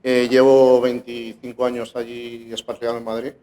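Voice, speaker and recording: A man's voice: mid-range voice, completely flat delivery, normal speed, dark tone, poor audio. A middle-aged man from Valencia with a Spanish accent, giving a conference talk in a casual, hesitant way with many fillers. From Valencia, Spain. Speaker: conference speaker.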